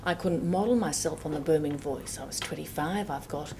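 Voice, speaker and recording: An older woman, a judge, speaking softly and reflectively in a panel discussion. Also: low-pitched, a little fast, heavy background noise. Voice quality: soft-voiced.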